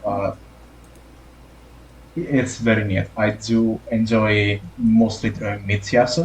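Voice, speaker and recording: A man's voice: fairly low pitch, flat delivery, slow, slight background noise, rough recording. A man from Italy speaking English with an Italian accent, a guest artist chatting hesitantly with lots of fillers. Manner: hesitant, many fillers, searching for words. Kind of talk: casual podcast chat.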